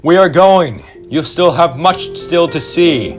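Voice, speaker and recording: A young man playing a stern, ageing Christmas spirit in a school stage play, speaking in slow, solemn pronouncements. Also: a little high, expressive, music in the background. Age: teens. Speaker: stage actor.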